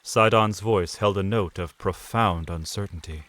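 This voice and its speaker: Low-voiced male audio drama narrator. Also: fairly bright tone, no echo. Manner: measured storytelling. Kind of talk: scripted narration.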